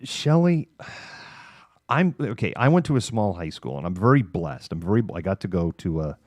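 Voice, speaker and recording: Male livestream host, middle-aged, American. Low-pitched, dry sound, candid and halting off-the-cuff delivery. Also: sing-song, a little mellow, no room sound. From Massachusetts, United States. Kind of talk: casual monologue of personal reminiscence.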